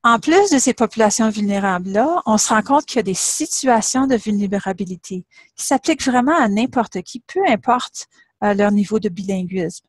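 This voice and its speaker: A woman's voice: medium pitch, lively intonation, no echo. A middle-aged woman, a university professor giving a webinar presentation and setting out her points in order. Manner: explanatory, measured, lays points out in order.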